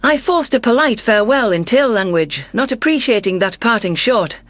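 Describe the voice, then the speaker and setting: A performer voicing an earnest, wary deposed alien governor who narrates in a measured, formal, reflective way. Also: medium pitch, animated, fairly bright tone, no echo, faint music behind, lightly acted. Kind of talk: scripted first-person audio story narration.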